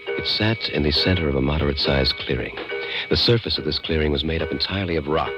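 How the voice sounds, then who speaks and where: A man acting a grave, haunted narrator in old radio suspense style, deliberate and ominous. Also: rumbling low. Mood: measured. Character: grave, haunted man narrating his own eerie experience. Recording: muffled vintage radio recording with music.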